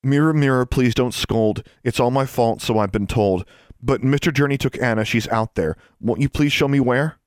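A man's voice: mid-range voice, normal speed, no room sound, studio quality, voice pushed up, natural voice. A man voicing a defiant sixth-grade girl witch in an audiobook. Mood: pleading. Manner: shouting, desperate.

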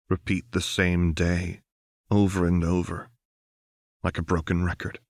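Deep-voiced, slow male audiobook narrator. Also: dry recording, clean studio sound. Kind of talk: scripted fiction narration.

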